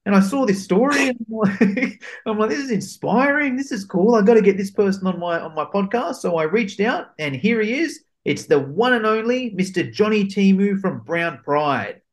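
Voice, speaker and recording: A man's voice: high voice, lively intonation, moderate pace. A man hosting a podcast interview, upbeat and hyping up his guest. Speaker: podcast host.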